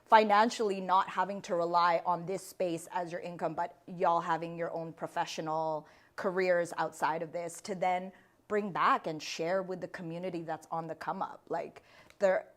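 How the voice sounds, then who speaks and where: A woman hosts a laid-back chat, slangy and upbeat. She fills her sentences with "like" and "you know." Her voice sits at an ordinary middle pitch. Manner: upbeat, slangy, says "like" a lot. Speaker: host. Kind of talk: casual interview chat.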